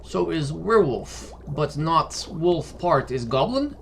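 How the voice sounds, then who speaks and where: A man's voice: on the high side, sing-song, takes it slowly, vocal fry, a little hiss or hum, pitched above the performer's own voice, full character voice. A man voicing a polite, earnest adventurer with simple phrasing. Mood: puzzled. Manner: agreeable, earnest.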